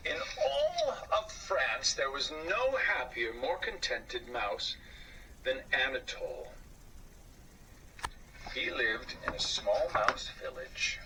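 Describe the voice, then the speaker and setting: A man reading a children's story aloud as host, with an expressive, theatrical, drawn-out delivery. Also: medium pitch. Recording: slightly distant mic, faint noise.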